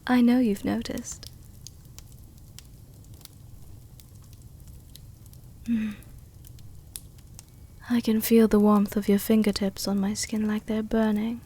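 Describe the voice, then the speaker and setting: Woman acting a slow, seductive vampire countess. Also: mid-range voice, level tone, dark tone. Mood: tender, intimate. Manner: slow, intimate, formal diction with breathy whispers. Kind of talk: soft-dom roleplay ASMR.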